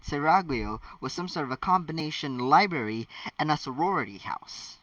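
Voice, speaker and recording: A man's voice: a little high, expressive, moderate pace, pushed and bright, dry recording, distant mic, dull audio. A man voicing a resigned, easygoing father in a storybook reading.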